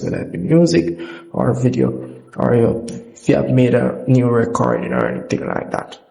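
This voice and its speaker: A man's voice: medium pitch, creaky, mellow, low-quality recording. A man presenting a casual, unscripted app walkthrough in a rambling, repetitive way.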